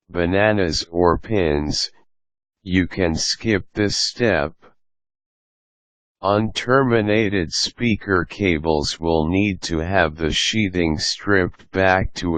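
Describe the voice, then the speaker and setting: A man with a low voice narrates scripted instructions in a close, dry recording. He takes his time, delivering each step in a measured, orderly way. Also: a bit bright, no echo. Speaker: tutorial narrator.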